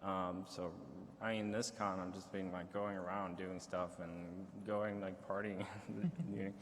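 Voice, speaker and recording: A man's voice: deep voice, level tone. Swedish man with American-sounding English. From Sweden. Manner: jokey, rapid casual talk. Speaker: panel guest. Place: convention hall stage. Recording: hall reverb, faint noise.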